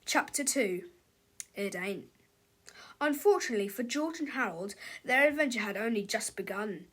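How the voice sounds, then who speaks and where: A woman's voice: fairly high pitch, lively intonation, moderate pace, fairly bright tone, slightly rough. A woman reading a children's storybook aloud as narrator, in a dramatic, theatrical manner.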